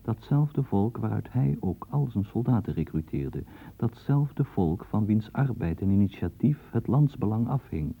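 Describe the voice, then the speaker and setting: A man narrating a historical radio program in a measured, ironic storytelling manner. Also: lowish voice, animated, creaky, breathy edge. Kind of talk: scripted documentary narration. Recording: tinny phone-like old broadcast.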